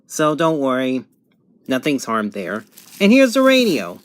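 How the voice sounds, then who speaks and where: Guy doing a casual unboxing at home, mid pitched voice, kinda halting, figuring it out as he talks. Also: huge pitch swings, no room sound. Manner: unscripted, halting, thinking aloud. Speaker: amateur product reviewer. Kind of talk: casual unboxing and review narration. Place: home bedroom, indoors.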